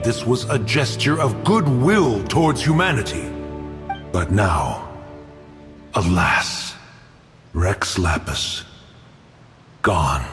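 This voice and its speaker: A haughty, ancient male guardian spirit from a fantasy game, stern and stately. The delivery is slow and formal with sweeping pitch swings, ringing in long reverb over music. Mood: solemn. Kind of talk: dramatic game voice acting.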